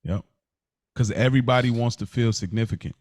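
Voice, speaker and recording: A man in casual podcast conversation, talking in a halting way and often repeating himself. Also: mid-range voice, darkish tone, no room sound, clean studio sound. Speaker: podcast speaker. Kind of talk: casual chat.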